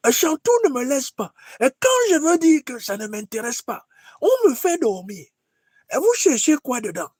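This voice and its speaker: Casting: aged dictator mentor, mocking and scolding in a very high voice, satirical skit, close dry sound. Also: male voice, very animated, moderate pace, a little mellow, slightly rough, no room sound, exaggerated voice. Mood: weary, complaining. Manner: exclaiming, scolding, repeats himself. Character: old dictator grand master, weary, imperious, mocking mentor.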